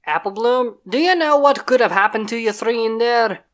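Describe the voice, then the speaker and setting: A woman's voice: fairly high pitch, normal speed, pitched above the performer's own voice, natural voice. A woman doing a stern country big-sister mare. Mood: scolding. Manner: firm and scolding.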